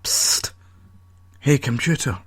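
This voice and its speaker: A man's voice: slow pace, dry recording, natural voice. A man voicing a frustrated, impatient trapped character in an audio story, pleading and demanding answers. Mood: urgent hushed. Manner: exclamatory, demanding questioning.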